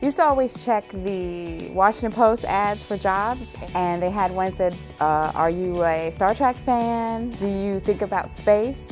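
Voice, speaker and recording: This is a woman from Maryland talking in a relaxed, matter-of-fact interview style. Faint music sits behind her. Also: mid-range voice, a little slow, hardly any pauses. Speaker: thermal blanket technician. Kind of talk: casual interview talk.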